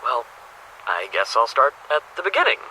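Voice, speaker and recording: A man's voice: mid-range voice, wildly swooping pitch, normal speed, pushed and bright, slight background noise, exaggerated voice, poor audio. A man playing an earnest, reflective school headmaster in a video game, confiding his thoughts in a conversational, musing audio diary. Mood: eager, amazed. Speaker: headmaster character.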